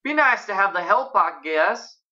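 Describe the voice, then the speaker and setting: A woman's voice: mid-range voice, animated, measured pace, sharp tone, muffled recording, voice pushed up. Woman playing a terse, reserved young cowboy. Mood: reluctant.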